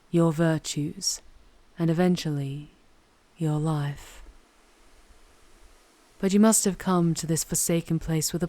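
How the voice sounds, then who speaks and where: A woman plays an ancient, proud demoness with a low, velvety dark voice. She speaks in formal, deliberate phrases, charming and teasing yet commanding. It is an intimate, very dry ASMR roleplay recording. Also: no room sound. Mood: intrigued, measured. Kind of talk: ASMR roleplay, scripted audio drama.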